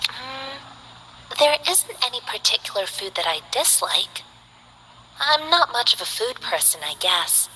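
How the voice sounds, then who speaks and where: A woman's voice: on the high side, sing-song, measured pace, slight background noise, heavily acted, poor audio. An actress playing a composed, earnest young female Taoist martial artist in a game. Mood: calm. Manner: composed, earnest, gently formal.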